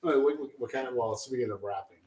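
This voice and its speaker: A man's voice: medium pitch, fast talker, reverberant, noisy background. A man co-hosting a casual radio show, rambling and hesitant as he asks questions. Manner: rambling, hesitant, laughs along. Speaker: radio co-host. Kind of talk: casual chat, interviewing a guest.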